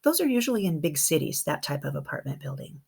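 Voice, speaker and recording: Woman, kindergarten teacher type, low register, slightly husky, expressive and animated storybook reading with conversational asides for little listeners. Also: fast talker. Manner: expressive, engaging young listeners with questions and comments. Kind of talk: children's picture-book read-aloud with chatty asides.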